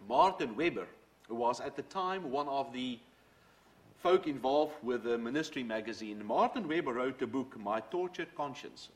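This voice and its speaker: A middle-aged man, a native Dutch speaker, preaching a sermon and telling his own faith story in an earnest, storytelling way. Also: mid-range voice. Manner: earnest, storytelling, quotes from books. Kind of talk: sermon with personal testimony.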